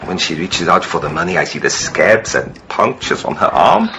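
Radio drama servant: deep, hesitant, stammering, ashamed, noisy background. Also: male voice, very animated, pushed and bright, full character voice. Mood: halting, tense. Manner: halting, stammering, apologetic. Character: guilt-ridden, halting male servant confessing. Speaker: actor.

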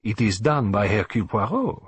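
A man's voice: on the low side, sing-song, normal speed. A man voicing a fussy, vain middle-aged male detective who speaks in a precise, theatrical, self-assured way. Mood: composed.

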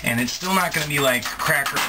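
A man's voice: on the high side, brisk, heavy background noise. A man in his thirties giving a casual, self-mocking cooking demonstration in a small home kitchen, talking into a close camera mic. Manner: chatty, self-mocking, playful asides. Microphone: handheld camera mic, close.

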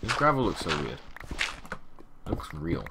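A young man with a deep voice and a sore throat, chatting casually over gameplay in a rambling way that often trails off into mumbles. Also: huge pitch swings, normal speed, creaky. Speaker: gaming channel host. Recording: audible background noise and keyboard clicks.

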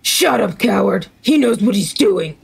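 A woman's voice: medium pitch, expressive, unhurried, vocal fry, husky, heavily acted. A woman voicing a brash, foul-mouthed, hot-tempered young male anime hero in a joke fan dub, barking scornful orders. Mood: angry. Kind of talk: improvised joke fan dub while gaming.

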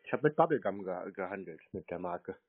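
A man on a prank phone call, acting obtuse with deadpan short replies. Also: low-pitched, brisk. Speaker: prank scambaiter. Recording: muffled narrow phone-like sound.

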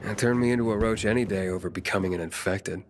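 A man's voice: on the low side, fast, faint music behind, lightly acted. An actor playing an earnest, plain-spoken male survivor in a video game, talking in a casual, laconic way. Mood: earnest, guarded. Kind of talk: video game cutscene acting.

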